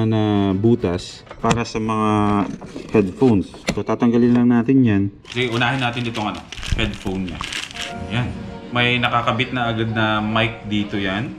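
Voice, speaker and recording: A man's voice: mid-range voice, fairly even intonation, normal speed. A young man casually walking viewers through installing a helmet intercom, often checking in with "okay?". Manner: instructive, talks viewers through each step, frequent "okay?" checks. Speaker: moto vlogger demonstrating gear. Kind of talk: casual how-to walkthrough. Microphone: camera mic. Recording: background music. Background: background music.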